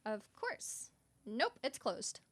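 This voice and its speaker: A woman's voice: fairly high pitch, sing-song, slow pace, exaggerated voice. Woman playing a dry, sardonic young roommate. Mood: exasperated, practical. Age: young adult. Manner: dry, matter-of-fact. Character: sardonic, practical, exasperated young female roommate. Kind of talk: student radio comedy acting.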